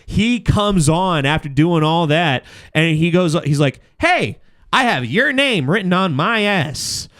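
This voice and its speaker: A man's voice: on the high side, animated, measured pace, fairly bright tone. A man chatting casually on a podcast, telling stories with slangy swearing and acting out bits of dialogue. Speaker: podcast host and comedy show runner. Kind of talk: casual podcast chat, animated storytelling.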